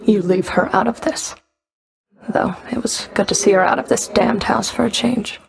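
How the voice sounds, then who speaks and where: An actress playing a stern, sardonic underworld Fury overseer in a video game, curt and commanding, with a gravelly, creaky voice. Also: female voice, medium pitch, normal speed, rough recording. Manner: curt, dry, commanding, grudging.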